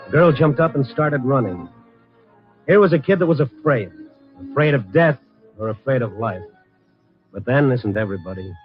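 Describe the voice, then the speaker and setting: A man's voice: medium pitch, expressive, moderate pace, long pauses, lightly acted. Muffled old-radio hard-boiled American newsman, played by an actor. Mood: brooding. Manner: wry, streetwise, dramatic storytelling. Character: wry, hard-boiled male newspaper night-beat columnist. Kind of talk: 1950s radio drama narration. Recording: muffled vintage radio recording, music underneath.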